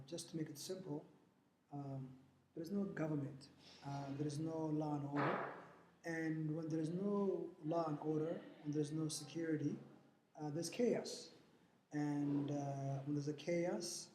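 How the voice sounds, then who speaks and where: A man's voice: a little high, mellow. Hesitant man in a roomy space. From Somalia. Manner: hesitant, frequent "uh" fillers, earnest. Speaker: interviewee, nonprofit board president. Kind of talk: interview answers. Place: event venue after a fundraiser. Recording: roomy echo, faint background noise.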